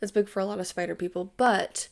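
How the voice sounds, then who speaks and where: A woman's voice: mid-range voice, steady tone, fast, creaky, darkish tone. A young woman with a cold, sniffly and tired-voiced, chatting casually about a film as a YouTube host, thinking aloud with fillers and restarts. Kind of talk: casual movie review.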